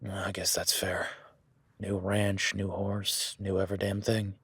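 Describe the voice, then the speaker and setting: A man's voice: sits low, little pitch movement, unhurried, a bit bright, husky, no room sound, heavily acted. A man playing a rugged, plain-spoken cowboy ranch hand with a folksy, easygoing manner that slips into casual swearing, in an audio roleplay. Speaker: ranch hand guiding a newcomer.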